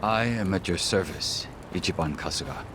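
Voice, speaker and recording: An actor playing a loyal, formal male lieutenant in a video game, speaking politely and with measured deference. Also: deep voice, lively intonation, noisy background. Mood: devoted. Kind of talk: dramatic video game voice acting.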